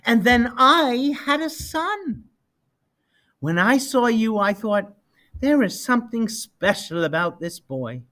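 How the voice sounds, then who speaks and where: A man's voice: extremely high pitch, big pitch swings, takes it slowly, sharp tone, pitched above the performer's own voice, heavily acted. Man reading as a patient, loving storybook mother. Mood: tender. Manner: tender, patient. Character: loving mother telling her son a bedtime tale.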